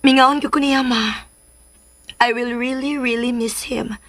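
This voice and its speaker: A woman's voice: a little high, steady tone, slow pace, fairly bright tone. A woman playing a lovestruck, clingy adolescent girl in a radio drama, with a pleading, girlish manner. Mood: pining. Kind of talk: Filipino radio drama acting.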